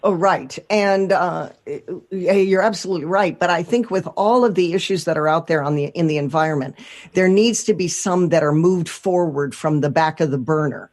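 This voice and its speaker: A woman's voice: lowish voice, moderate pace, a little mellow. A woman with an American accent hosting and interviewing on a talk radio show.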